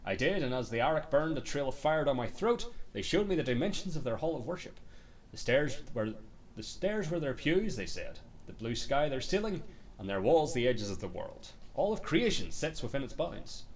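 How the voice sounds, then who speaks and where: A man with a fairly high, very expressive voice reads fantasy game text like a dramatic storyteller. He breaks off into muttered, sweary asides. The microphone sounds slightly distant. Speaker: game streamer reading aloud. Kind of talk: dramatic reading of in-game books.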